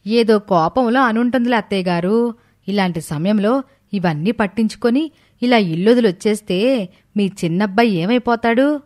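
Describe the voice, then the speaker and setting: A woman voicing a two-faced, scheming elder daughter-in-law in an animated story, dramatic and insincere. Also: medium pitch, normal speed, close to an everyday voice. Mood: falsely sweet.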